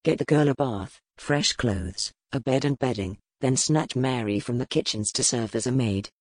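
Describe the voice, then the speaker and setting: A woman's voice: very deep voice, moderate pace, darkish tone, no room sound, pitched below the performer's own voice. A woman voicing a stern, commanding duke in an audiobook, speaking in curt orders. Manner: curt, authoritative. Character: stern cold-blooded male duke, commanding.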